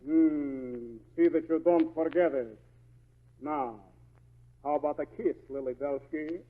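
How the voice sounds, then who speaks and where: An actor playing an excitable, domineering husband with a pompous, declamatory delivery in an old radio play. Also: male voice, on the high side, slow pace. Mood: pompous triumph. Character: excitable Russian husband, rebellious and domineering over his wife. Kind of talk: 1940s radio acting. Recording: dull lo-fi old radio recording.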